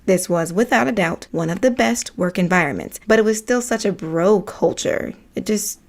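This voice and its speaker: Actress as young woman grad student, mid-pitched, earnest, chatty, casual, slightly apologetic, audio drama. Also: moderate pace, lightly acted. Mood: candid, apologetic. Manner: casual, confiding. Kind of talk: naturalistic audio drama monologue.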